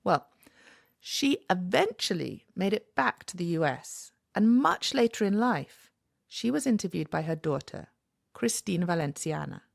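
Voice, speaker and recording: A woman delivering scripted documentary narration in a measured, expressive way. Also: fairly low pitch, creaky, no echo, studio quality.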